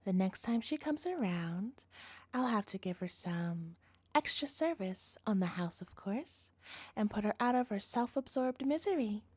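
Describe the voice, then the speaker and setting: A woman's voice: mid-range voice, lively intonation, a little slow, a little mellow, slight background noise, natural voice. A woman playing an obsessive, doting captor girlfriend in a scripted audio roleplay, speaking directly to the listener in a cutesy tone with menace in it. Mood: quiet, menacing.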